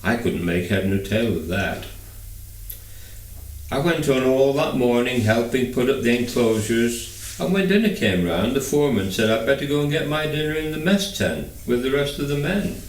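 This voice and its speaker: A man's voice: medium pitch, lively intonation, moderate pace. A man reading a first-person novel aloud as scripted narration. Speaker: audiobook reader. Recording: echoey room, distant microphone.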